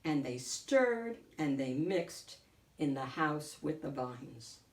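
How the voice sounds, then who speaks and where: Woman reading a kids book, lowish voice, very expressive, bit far from the mic. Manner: deliberate, theatrical storytelling. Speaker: host of children's book read-aloud. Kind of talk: expressive picture-book narration. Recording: echoey room, off the mic.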